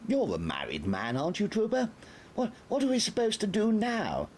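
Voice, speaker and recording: A fussy, self-important army major with a reedy, high voice that climbs when he gets flustered, as a man narrator plays him. He lectures in clipped officer tones and scrambles when things go wrong. An audiobook figure over a faint hiss. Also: huge pitch swings, normal speed, darkish tone. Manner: pompous and fussy, easily flustered. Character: pompous, flustered older male army major. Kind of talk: audiobook character voice.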